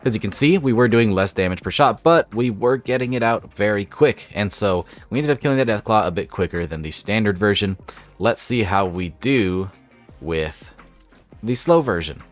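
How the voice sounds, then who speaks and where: A man's voice: fairly low pitch, lively intonation, moderate pace, soft background music. Upbeat young man with a stuffy cold. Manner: upbeat, explains step by step. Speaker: gaming channel host. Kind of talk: casual game mod showcase voice-over.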